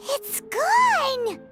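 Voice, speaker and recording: Very squeaky, excitable little fairy companion. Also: female voice, full character voice. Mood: amazed, excited. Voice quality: squeaky, childlike. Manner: bubbly, exclamatory, speaks of self in third person. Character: tiny excitable childlike female fairy companion. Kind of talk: video game voice acting.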